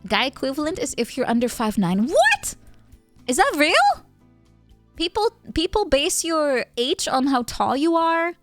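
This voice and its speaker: A woman's voice: high-pitched, wildly swooping pitch, a little slow, dry recording. A young woman streaming, chatting casually with viewers. She laughs often, thinks aloud with fillers, and is tipsy from wine. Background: faint background music.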